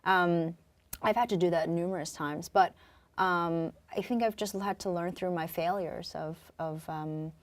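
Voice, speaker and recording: A young woman born in Korea and raised on Long Island, a singer-songwriter talking reflectively as an interview guest, with many pauses and fillers. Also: fairly low pitch, steady tone. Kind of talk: casual interview talk.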